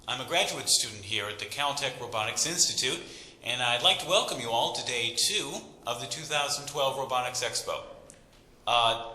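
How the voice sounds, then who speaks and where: A young graduate student addresses an event in a radio play. He is awkward yet proud, with a crisp, very bright tone and a long echoing hall reverb. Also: mid-range voice. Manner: hesitant, wry public speaking. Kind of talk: radio drama acting as an event speech. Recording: reverberant hall PA, faint noise.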